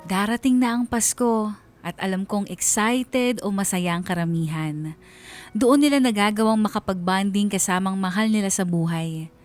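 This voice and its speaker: A woman's voice: mid-range voice, normal speed, a little mellow, soft background music, lightly acted. A woman playing an aggrieved married schoolteacher, narrating her family troubles as a confession in a radio drama. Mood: aggrieved, reflective.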